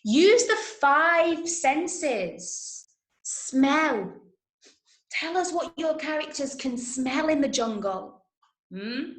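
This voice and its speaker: A woman's voice: high voice, sing-song, drawn-out words. A woman with an English accent teaching an interactive online lesson for children, emphatic and often checking that listeners follow. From Manchester, England. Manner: emphatic, checks understanding with frequent tag questions. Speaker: drama workshop teacher. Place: indoor room, online video call. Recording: echoey room on a video call.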